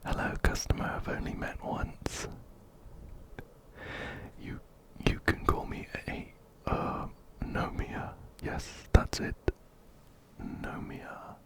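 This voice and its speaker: A man whispering breathily and gently, very close to a binaural microphone, as he narrates a game and reads its text aloud. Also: slow pace. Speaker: ASMR video host. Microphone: close-up binaural microphone, ear to ear.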